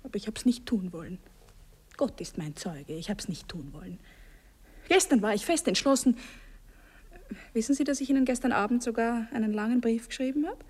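Young wife, radio play, soft, breathy, fretful, coy, reluctantly yielding, formal address. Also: female voice, a little high, animated, normal speed, long pauses. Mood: remorseful.

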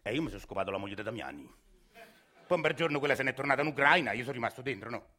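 A man's voice: medium pitch, lively intonation, rapid-fire, pushed and bright, voice pushed down. An actor playing a shady, crude male landlord with a Roman accent in a radio play, talking in a coarse, sly and menacing way. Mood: sly swaggering. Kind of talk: radio theatre acting.

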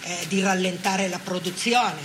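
An older woman from Italy giving colloquial, halting oral history testimony. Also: lowish voice, background noise. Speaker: witness of wartime factory life. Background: music.

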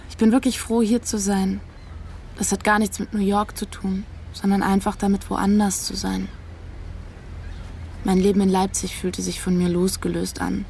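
Actress as creaky-voiced, disillusioned young woman. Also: mid-range voice, little pitch movement, moderate pace, darkish tone, a little hiss or hum, lightly acted. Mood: disillusioned, musing. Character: disillusioned, searching young German woman abroad. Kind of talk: naturalistic radio drama dialogue.

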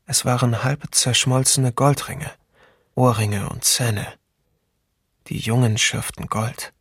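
An actor voicing a sombre man recalling his childhood, reading a literary memoir with measured restraint in a documentary radio play. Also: medium pitch, steady tone, a bit bright, husky, no room sound, clean studio sound, exaggerated voice. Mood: sombre, reflective.

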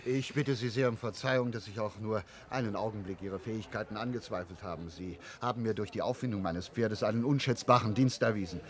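A man playing an excitable upper-class colonel in a German radio play, blustering and easily astonished. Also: lowish voice, fairly quick, few pauses, natural voice. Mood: contrite, astonished. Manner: blustering, exclamatory, stammering when astonished.

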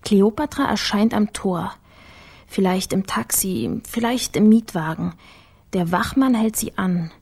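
Husky, mellow shrewd investigator. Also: female voice, mid-range voice, unhurried. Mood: reasoning aloud. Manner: terse, wry, thinking aloud. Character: shrewd, dry female investigative partner. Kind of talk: radio drama dialogue.

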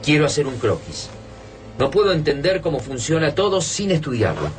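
A man's voice: a little high, big pitch swings, normal speed. A man with an Argentine accent playing a thoughtful, analytical survivor in a radio drama. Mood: focused, analytical. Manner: observant, reflective. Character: thoughtful, analytical male survivor studying invaders. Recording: distant mic, music underneath. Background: music.

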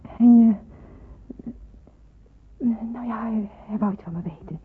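An actress playing an anguished, dutiful and fearful young nurse in a Dutch radio play, hesitant and brooding, with a breathy, husky voice. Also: female voice, a little high, slow, a little hiss or hum. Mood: wary, guarded. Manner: hesitant, brooding, self-questioning. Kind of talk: Dutch radio play acting, inner monologue. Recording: muffled tinny old radio recording.

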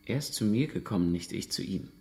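A guarded, defiant uncle in a radio drama. His voice is on the low side. He gives curt, evasive answers under questioning and keeps the delivery naturalistic. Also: expressive, brisk, darkish tone.

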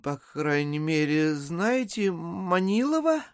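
An actor playing a courteous, ingratiating gentleman traveller in an audio drama, polite and hesitant. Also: fairly high pitch, big pitch swings, slow pace, clear, resonant tone, exaggerated voice. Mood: inquiring. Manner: polite, hesitant, with many small interjections. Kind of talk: theatrical audio drama acting.

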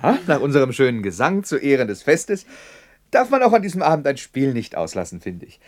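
An actor playing a cheerful, teasing young husband hosting a party in a radio play, playful and mock-formal. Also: male voice, mid-range voice, huge pitch swings, a little fast. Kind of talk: 1960s German radio play acting.

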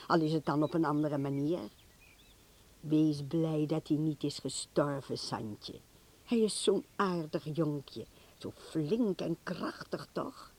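A woman playing a soothing, doting old mother and grandmother in a Dutch radio drama, with a husky voice. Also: low-pitched, a little mellow, heavily acted. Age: older.

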